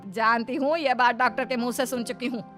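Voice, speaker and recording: A woman's voice: fairly high pitch, steady tone, fairly quick, fairly bright tone, faint music behind, lightly acted. A woman voicing a domineering older mother-in-law in a cartoon story, with a scolding, insistent and commanding manner. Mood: angry, scolding. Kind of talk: Hindi cartoon story voice acting.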